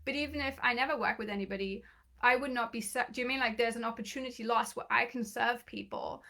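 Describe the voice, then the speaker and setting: A woman coaching in a live online training, talking in a chatty, encouraging way with lots of fillers and restarts, her voice a little croaky. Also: fairly high pitch, steady tone, fast, bright, forceful tone. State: slightly croaky voice. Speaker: business coach.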